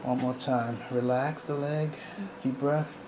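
A man's voice: medium pitch, fairly even intonation, slow pace, noisy background. A man working as a chiropractor, giving a client short, repeated, reassuring cues during a hands-on ASMR bodywork session in a small room. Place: small indoor treatment room.

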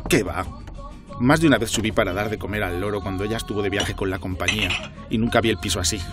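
A man's voice: fairly low pitch, fast, over music, close to an everyday voice. An actor playing a chatty, observant, slightly self-important doorman in a radio drama, talking colloquially with a repeated verbal tic. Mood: insistent, confiding. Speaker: building doorman.

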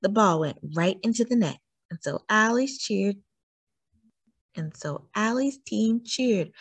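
Animated woman reading a children's storybook, slow. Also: medium pitch, slightly rough. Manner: expressive, acts out dialogue. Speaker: children's read-aloud storyteller. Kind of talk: animated scripted storybook narration.

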